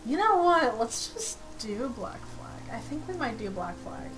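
A woman's voice: fairly high pitch, sing-song, unhurried, rough recording. A woman chatting casually and expressively as she plays a game on a stream. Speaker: gaming streamer. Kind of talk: casual co-op let's play chat.

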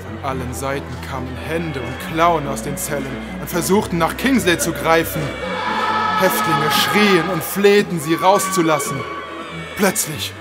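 A man's voice: fairly high pitch, normal speed. A man narrating an audio drama as a scripted, suspenseful story in a portentous manner. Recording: loud music under the voice.

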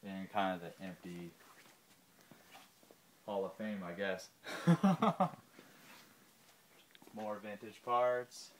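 Man, low-pitched, casual walking tour, handheld phone mic, roomy warehouse echo. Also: very animated, very slow, long pauses. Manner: lists items while walking, filming handheld. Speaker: shop tour guide. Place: large indoor parts warehouse and shop. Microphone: handheld phone camera. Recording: echoey warehouse, faint hum.

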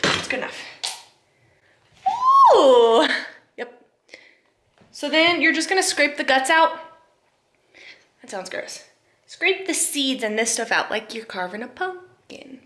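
A young woman talks through her cooking in a bright, high-ish voice, chatty and a little flustered, poking fun at herself. The sound comes from a camera set up across a small home kitchen, so it rings with room echo. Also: a little hiss or hum. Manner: self-deprecating, flustered, chatty. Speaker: cooking vlogger. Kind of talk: casual cooking vlog. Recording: echoey kitchen, far camera mic.

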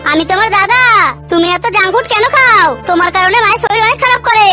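A woman's voice: very high-pitched, fast talker. A woman voicing a small, earnest and helpful boy in a children's cartoon, with simple childlike phrasing. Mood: earnest scolding. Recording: clear background music.

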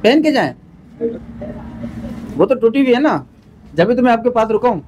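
High-voiced male street vlogger, courteous and coaxing; traffic noise behind. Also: big pitch swings, moderate pace, a little mellow. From Pakistan. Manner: polite, urging, repeats short phrases. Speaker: charity vlogger. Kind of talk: casual vlog narration. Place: busy street and small shops outdoors. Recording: noisy street ambience with traffic.

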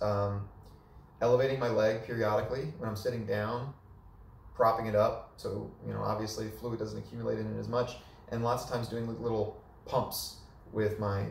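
A young man with a hoarse, heavily gravelly voice talks casually, with many fillers and restarts. He sits low in pitch and stands back from the camera, so the small room adds an echoey, distant sound. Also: a little hiss or hum. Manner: relaxed and chatty, with many fillers. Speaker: vlogger. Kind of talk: casual recovery update. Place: small living room indoors. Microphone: camera a few meters away.

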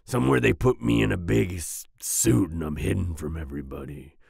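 A man playing a volatile but soft-hearted werewolf boyfriend in an ASMR roleplay, growling and raspy, with sighs and groans. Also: sits low, no echo, clean studio sound, full character voice. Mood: sulky, resigned. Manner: snarling outbursts, sighs and groans, slow brooding delivery. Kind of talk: ASMR roleplay audio drama.

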